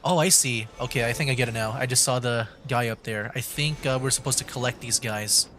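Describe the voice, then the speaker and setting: A man streaming a video game with casual commentary, thinking aloud in hesitant, halting bursts. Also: medium pitch, a bit bright, soft background music.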